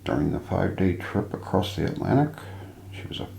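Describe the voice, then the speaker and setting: A man softly narrating mystery stories in an ASMR style, hushed and deliberate, with pauses. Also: deep voice, animated, creaky, echoey room, a little hiss or hum. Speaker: ASMR narrator hosting a mystery show roleplay. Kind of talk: soft ASMR scripted narration of ghost stories.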